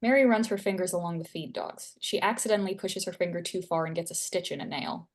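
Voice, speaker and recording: A woman's voice: on the low side, fast talker. A woman narrating stage directions as scripted narration at a screenplay table read.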